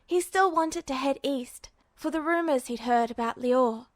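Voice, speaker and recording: A woman with a fairly high voice narrating a story in a steady, storytelling manner. The recording is close and dry with no room sound. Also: unhurried, no echo. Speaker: podfic reader. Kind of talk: scripted fiction narration.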